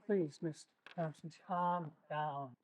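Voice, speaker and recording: Man acting a courteous, higher-voiced school official. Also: fairly even intonation, a little mellow, dry recording. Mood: placating. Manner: courteous, measured, formal. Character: polite, mediating male school official. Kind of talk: stage play acting.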